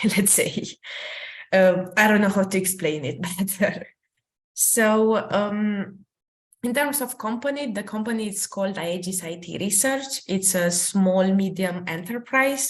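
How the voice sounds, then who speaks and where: A young woman from Romania, speaking English as a second language, giving an informal career talk over a video call with many fillers and restarts. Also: fairly low pitch. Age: young adult. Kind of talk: informal presentation with slides.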